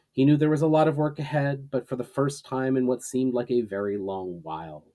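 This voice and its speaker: A man's voice: medium pitch, darkish tone. A man who hosts a storytime channel, speaking as an author presenting and narrating his own story. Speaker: author reading own book, channel host. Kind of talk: dramatic story narration and casual sign-off.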